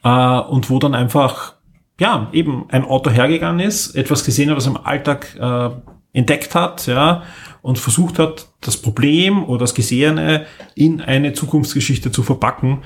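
A man's voice: medium pitch, normal speed. A man chatting casually as a podcast co-host, explaining things in an enthusiastic, rambling way. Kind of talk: casual podcast chat, recommending audio dramas.

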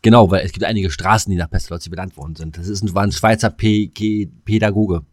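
A man with a fairly low voice, recorded dry and close. He talks as a podcast co-host in loose, colloquial chat, joking and slipping into crude slang. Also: steady tone, fast, no echo.

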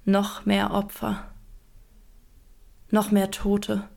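An actress playing a medieval woman, quietly and sorrowfully narrating a long journey in a radio drama. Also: medium pitch, monotone, very slow, gravelly, heavily acted.

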